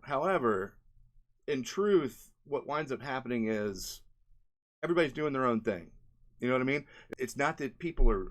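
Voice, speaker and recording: Male indie game developer, medium pitch. Casual, conversational vlog explanation with rambling phrasing and frequent tag questions. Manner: conversational, often tags sentences with "right?" and "you know".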